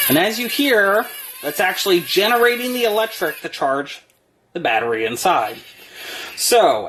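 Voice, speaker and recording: A product reviewer speaks in a mid-pitched voice, explaining things plainly. The delivery is unscripted and matter-of-fact, in the manner of a hands-on demonstration.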